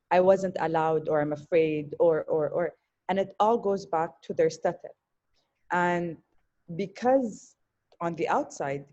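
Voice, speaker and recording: A woman on an online panel, speaking in an earnest, thoughtful way with frequent pauses and repeated words. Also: on the low side, dark tone, dull audio. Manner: thoughtful, earnest, with hesitations and repetitions. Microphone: video call.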